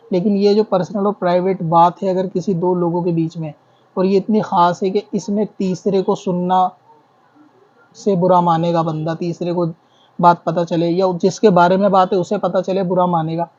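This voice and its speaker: A man's voice: high voice, darkish tone. A man explaining a religious ruling as a scholar, reasoning step by step and repeating his points in a didactic way. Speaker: Islamic scholar answering questions. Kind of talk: explanatory religious ruling in question-and-answer form. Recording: dull lo-fi audio.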